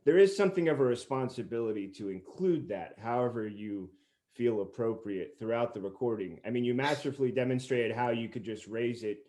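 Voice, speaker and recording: An effusive man hosts a podcast in an average-to-low male register. He talks in long, wordy runs, moving between a prepared introduction and casual interview chat. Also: fairly quick, dark tone. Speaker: podcast host. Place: remote group call recording.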